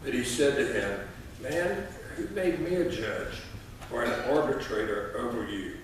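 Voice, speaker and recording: Older guy in his 60s talking at church, folksy and halting, super echoey, mic far off with noise. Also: medium pitch, lively intonation. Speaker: lay scripture reader at church. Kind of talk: informal church address and scripture reading. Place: church sanctuary at a lectern. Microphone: distant camera microphone.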